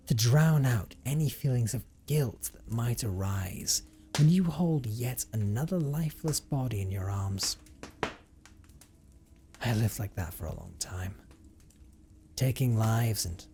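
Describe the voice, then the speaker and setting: A man acts out a brooding vampire haunted by guilt, confessing his past in an audio drama. His low voice is measured and formal, often sinking into a breathy hush. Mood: remorseful, brooding. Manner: measured, hushed, formal storytelling with breathy drops. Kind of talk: dramatic audio roleplay monologue.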